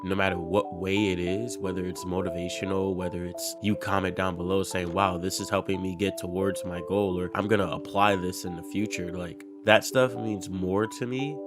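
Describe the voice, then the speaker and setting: Casting: young male vlogger, very low voice, earnest rambling motivational talk, soft music bed under him. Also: steady tone, moderate pace, few pauses. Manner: earnest, rambling, repeats himself. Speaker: motivational video creator. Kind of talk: casual motivational monologue. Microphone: desk microphone. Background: soft background music.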